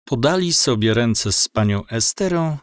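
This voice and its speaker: A man's voice: mid-range voice, animated, measured pace, smooth, no echo, studio quality. A man narrating a scripted audio drama as its storyteller.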